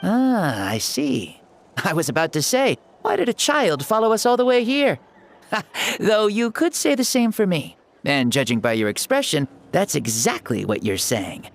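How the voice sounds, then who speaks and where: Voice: medium pitch, very animated, measured pace, faint music behind. An actor playing an ancient hero who inhabits a young boy's body in a video game, theatrical, jaunty and self-assured.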